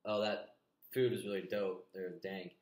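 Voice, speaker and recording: A young man interviewing a friend in a small bedroom, asking curious, quick follow-up questions. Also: deep voice, little pitch movement, a little mellow. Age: young adult. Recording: echoey room.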